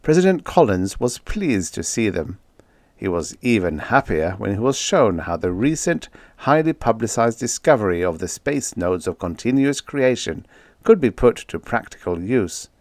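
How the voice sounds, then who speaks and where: A man reading a science fiction story aloud in an expressive, theatrical narrating style. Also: fairly low pitch, normal speed, no room sound. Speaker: audiobook reader.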